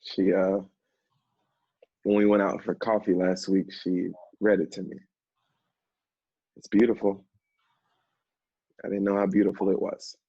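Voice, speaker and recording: Husky, earnest man acted onstage, roomy sound. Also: low-pitched, little pitch movement, warm tone, exaggerated voice. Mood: shy, tender. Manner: halting, earnest. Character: earnest, emotional man who knows addiction firsthand. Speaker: apprentice stage actor. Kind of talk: stage play acting. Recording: roomy, dull stage recording.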